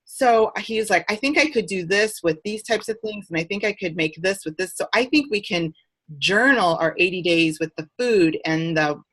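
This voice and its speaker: A woman's voice: medium pitch, moderate pace, a bit bright, husky. A woman in her forties chatting casually and upbeat on a group video call. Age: 40s. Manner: chatty, upbeat, fillers like "you know". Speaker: group host.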